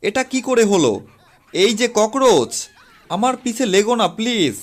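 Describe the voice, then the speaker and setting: A man voicing an excitable, flustered cat barber in a comic cartoon dub, exclaiming dramatically over music. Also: high-pitched, measured pace. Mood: flustered panic. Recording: music under the voice.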